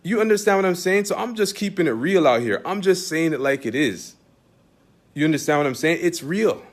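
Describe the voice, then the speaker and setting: A man's voice: a little high, lively intonation, fairly quick. A man with a Canadian accent, an Islamic teacher talking straight to his followers in an emphatic, repetitive way, full of rhetorical questions. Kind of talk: direct-address monologue to followers.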